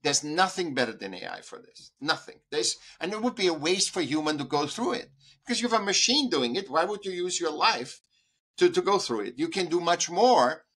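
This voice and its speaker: A man's voice: on the high side, big pitch swings, fairly quick, pushed and bright, breathy edge. A man, a guest artist and educator, talking in an interview in an emphatic way, restarting sentences and repeating points for stress. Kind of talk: conversational interview.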